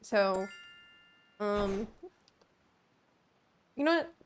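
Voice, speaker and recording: A woman's voice: mid-range voice, expressive, extremely slow, slight background noise. A woman streamer giving casual live commentary as she plays a video game. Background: faint game music.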